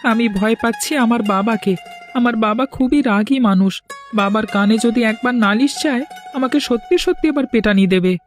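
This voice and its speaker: A woman's voice: a little high, normal speed, soft background music, natural voice. A woman voicing a timid, kind young village boy in a cartoon, talking in a cautious, worried manner. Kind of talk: cartoon voice acting.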